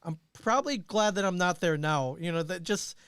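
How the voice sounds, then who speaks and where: A man's voice: high-pitched, moderate pace, a bit bright, smooth, no echo. A middle-aged American man from Michigan, a guest casually telling rambling, self-deprecating anecdotes. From Michigan, United States. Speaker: podcast guest. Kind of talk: casual chat, personal anecdotes.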